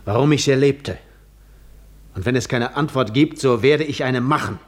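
An actor playing a defiant, brooding, weary adventurer in a 1950s German radio play, terse and declamatory. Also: male voice, mid-range voice, moderate pace, close to an everyday voice. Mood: defiant, resolved.

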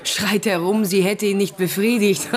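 An actress playing a bitter, frightened young prostitute in a radio drama, coarse and aggrieved. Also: female voice, medium pitch, steady tone, normal speed, close to an everyday voice. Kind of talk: radio play acting.

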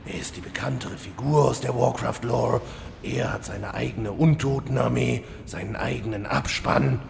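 A man's voice: mid-range voice, normal speed, creaky, big-room sound, crowd noise. A man playing a vain, insecure, fussy demon lord in a fantasy parody audio drama, ranting in theatrical exasperation. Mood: envious, petulant.

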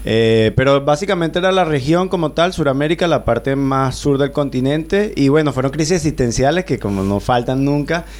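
A Venezuelan man in his thirties, sitting as a guest in a radio studio. He talks in a loose, rambling way, circling back, restarting phrases and filling pauses as he tells his travel story. His voice sits at an ordinary middle pitch. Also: darkish tone. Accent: Venezuelan Spanish. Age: 30s. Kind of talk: relaxed radio interview.

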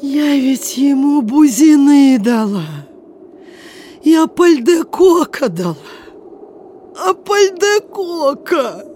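An actress playing a tremulous, pious old landowner lady in a radio play, lamenting in a drawn-out way. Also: high-pitched, lively intonation, mellow, slight background noise. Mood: tearful pleading.

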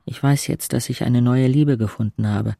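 A man's voice: medium pitch, steady tone, moderate pace, creaky, warm tone, natural voice. An actor playing a bitter, brooding young man who tells of his village youth in an intense, introspective audio drama narration. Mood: bitter, resigned. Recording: music under the voice.

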